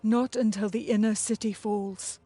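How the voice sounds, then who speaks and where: Mellow-voiced regal queen played by a woman. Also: medium pitch, fairly even intonation, normal speed, no echo, faint music behind, lightly acted. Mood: grave, sorrowful. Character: regal queen, dignified, concerned for her embattled people. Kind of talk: dramatic video game voice acting.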